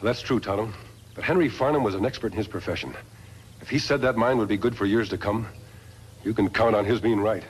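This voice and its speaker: A male actor plays the steadfast hero of a 1950s radio western. His voice is fairly low, with formal, earnest and measured delivery. Light vintage noise runs through the recording. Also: lightly acted. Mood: earnest, concerned.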